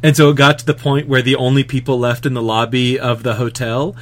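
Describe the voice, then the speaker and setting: A man co-hosting a casual chat, telling a story in a relaxed, rambling way. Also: medium pitch. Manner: relaxed, rambling, with lots of fillers and quick asides.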